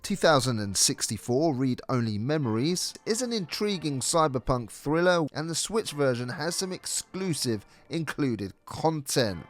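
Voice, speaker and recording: A man's voice: on the high side, animated, unhurried, few pauses, soft background music. A man presenting a scripted video game review as narrator.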